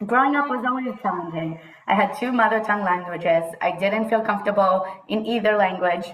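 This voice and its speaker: A woman's voice: medium pitch, a little fast, a bit bright, echoey room, low-quality recording. A woman in her thirties from Israel, chatting as a podcast guest and mixing Hebrew words into her talk. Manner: chatty, mixes in Hebrew words, laughs often. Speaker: guest, HR consultant. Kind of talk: casual interview conversation.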